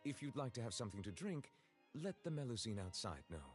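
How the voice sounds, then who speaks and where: A man voicing a formal, dignified high official with courteous, measured speech in a video game. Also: sing-song, faint music behind, natural voice. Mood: polite, composed.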